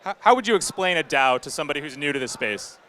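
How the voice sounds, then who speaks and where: Bright, clear, high-pitched male panel moderator. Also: lively intonation, fast talker. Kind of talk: addressing a live audience on stage. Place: live stage event. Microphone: stage microphone.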